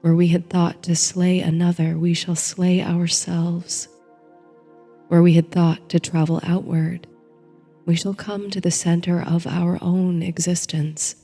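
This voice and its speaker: A woman narrating a guided bedtime meditation in a soothing, gentle, hushed manner, reading from a script. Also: lowish voice, flat delivery, measured pace. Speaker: host and narrator of a sleep story series. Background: soft music.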